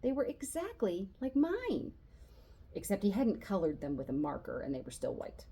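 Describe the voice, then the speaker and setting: A woman reading a children's novel aloud as an expressive storyteller, narrating in the voice of a boy and acting out the dialogue. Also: medium pitch, normal speed, slightly rough. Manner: animated, acts out dialogue.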